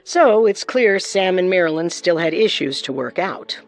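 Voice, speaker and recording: A woman's voice: fairly low pitch, expressive, normal speed. Woman narrating scripted true crime. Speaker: co-host and narrator.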